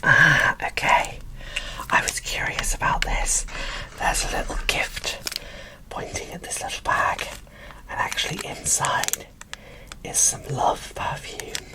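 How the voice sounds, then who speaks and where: Slow, whispering woman in ASMR style. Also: sits low, wildly swooping pitch, gravelly, poor audio. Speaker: video creator presenting a doll unboxing. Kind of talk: whispered ASMR unboxing commentary.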